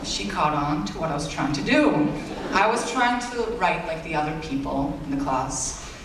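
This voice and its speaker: A man's voice: a little high, lively intonation, moderate pace, noisy background. A young man in his late teens, a native Bulgarian speaker, giving an earnest, self-deprecating graduation speech as valedictorian in a large school auditorium. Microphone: far-off audience camera. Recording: echoey hall recorded from far off.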